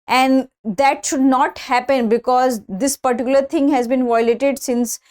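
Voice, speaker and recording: A woman with a somewhat high-pitched voice and an Indian accent speaks as an online course instructor. She lectures in an explanatory, didactic way, moving point by point and repeating key phrases. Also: fairly even intonation, unhurried, darkish tone. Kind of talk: explanatory news-analysis lecture.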